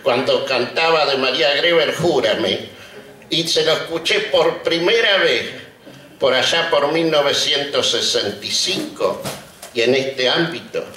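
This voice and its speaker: An Argentine man of sixty-five giving an emotional, halting acceptance speech at an outdoor ceremony, pausing often as he reminisces. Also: mid-range voice, bright, forceful tone, a little hiss or hum. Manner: halting, reminiscing, with deliberate pauses. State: emotional, trembling. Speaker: retired teacher and honoree giving a speech. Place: outdoor colonial courtyard before a seated audience. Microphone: podium microphones on stands. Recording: roomy, distant public-address sound.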